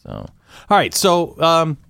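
Lively forties man hosting a podcast. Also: fairly high pitch, slow, vocal fry, clear, resonant tone, dry recording, studio quality. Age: 40s. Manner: playful, laughing, animated host asking round the table. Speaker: podcast host. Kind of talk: casual group podcast chat.

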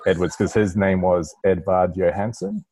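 A man's voice: low-pitched, normal speed, mellow. A man with a New Zealand accent, talking casually about himself in a group conversation. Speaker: podcast participant telling own journey.

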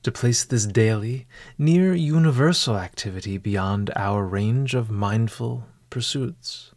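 Slow low-ish guy doing guided meditation, formal wording, dry close sound. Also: darkish tone, dry recording. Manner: formal, measured, eloquent phrasing. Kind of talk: scripted guided meditation narration.

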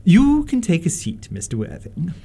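A man's voice: sits high, wildly swooping pitch, mellow, breathy edge, exaggerated voice. Man playing a swooping, imperious Victorian society matron. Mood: haughty interrogating. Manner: pompous, deliberate, swooping emphasis. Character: imperious upper-class Victorian mother, middle-aged, haughty and disapproving. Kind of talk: stage-comedy radio acting.

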